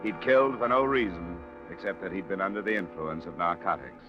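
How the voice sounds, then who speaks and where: A man playing a low-pitched, hard-boiled American investigator in an old radio noir. His delivery is terse and matter-of-fact, on a muffled recording with prominent music. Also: fairly quick, lightly acted. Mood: dry, matter-of-fact. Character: hard-boiled freelance male insurance investigator. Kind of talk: 1950s radio noir narration and dialogue.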